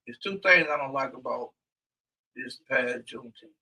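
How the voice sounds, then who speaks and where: A man co-hosting a casual community talk show, talking loudly and emphatically in long rambling runs. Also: medium pitch, normal speed, distant mic, rough recording. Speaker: co-host.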